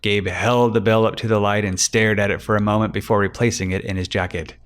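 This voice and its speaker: A man's voice: on the low side, little pitch movement, brisk, a bit bright. A man giving scripted narration for a crime fiction audiobook.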